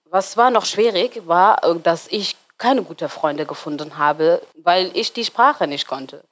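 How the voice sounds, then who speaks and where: A woman in her twenties from Somalia, German not her first language, talking as an interview guest and often pausing on fillers while searching for words. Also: on the low side, fairly bright tone. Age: 20s. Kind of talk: casual interview talk.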